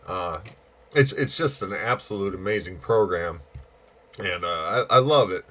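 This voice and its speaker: A man with a slightly low voice talks as a ham radio hobbyist in a casual on-air chat. He is enthusiastic and pauses often to find his phrasing. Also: fairly bright tone. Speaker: amateur radio operator.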